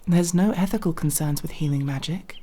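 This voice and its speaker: A woman playing a nervous, naive young elf rogue in an ASMR fantasy roleplay, speaking softly with formal, hesitant, precise wording. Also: sits low, brisk. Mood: puzzled, earnest. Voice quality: soft, breathy, often dropping to whisper.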